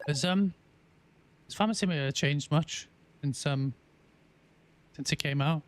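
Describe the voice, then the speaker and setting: A man live-streaming a farming game, chatting casually with viewers and answering their messages, often pausing with ums and restarting his sentences. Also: fairly high pitch. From England. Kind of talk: casual live-stream chat while playing.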